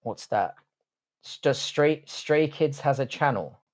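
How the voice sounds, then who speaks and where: A man's voice: medium pitch, expressive, slow, a little mellow. Casual London man chatting on a livestream. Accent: London English. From London, England. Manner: informal, matey, swears freely. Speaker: live streamer answering chat. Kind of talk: casual live-stream chat, reading and answering comments.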